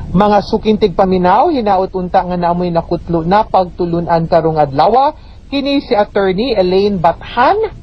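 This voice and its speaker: A woman with a Cebuano Filipino accent giving spoken legal advice on the radio, explaining emphatically and checking with tag questions. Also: lowish voice. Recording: dull, noisy radio recording.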